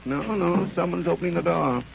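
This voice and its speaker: An actor playing a cool, brisk English gentleman detective in the style of 1930s radio drama. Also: fairly high pitch, gravelly. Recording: muffled, very noisy 1930s radio recording.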